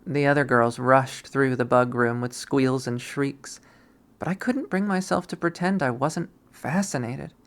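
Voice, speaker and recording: A person reading a visual novel aloud as a dramatic, expressive narration, acting out the story. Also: mid-range voice, a little slow. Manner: expressive, acts out the characters.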